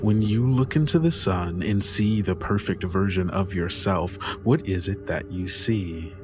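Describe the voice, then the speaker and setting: A man's voice: low-pitched, measured pace, over music. A man narrating a tabletop horror game dramatically as game master.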